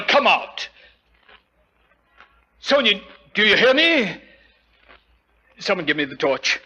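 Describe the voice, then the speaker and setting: An actor playing a domineering, easily alarmed aristocrat in a dramatic, exclamatory 1940s radio style. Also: male voice, on the high side, pushed and bright, heavily acted, rough recording.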